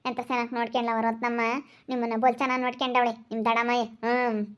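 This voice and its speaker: Woman playing a rustic, high-voiced old granny. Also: level tone, fast talker, close to an everyday voice. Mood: fond, gossipy. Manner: rustic, chatty, reminiscing. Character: old grandmotherly woman who raised the children, fond, gossipy. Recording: dull lo-fi sound.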